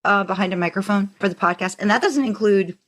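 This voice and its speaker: A woman co-hosting a podcast in a chatty, informal, animated way. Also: medium pitch, quick pace. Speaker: podcast co-host. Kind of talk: casual podcast chat.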